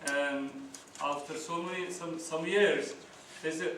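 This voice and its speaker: A middle-aged man, an ESL teacher giving a workshop talk, halting with many restarts and fillers. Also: a little high, steady tone, fairly bright tone. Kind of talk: workshop presentation. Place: conference room. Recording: echoey hall, distant mic, noisy.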